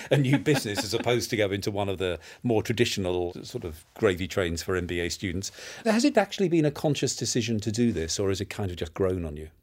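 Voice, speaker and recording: Male interviewer, lowish voice, probing and conversational. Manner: probing, restarts questions often. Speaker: interviewer, institute director.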